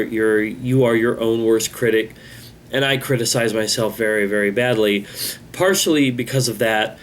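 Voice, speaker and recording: A man hosting his own channel, talking in a halting, rambling, self-critical way, with a congested voice. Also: mid-range voice, slight background noise. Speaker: channel host. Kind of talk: casual vlog monologue.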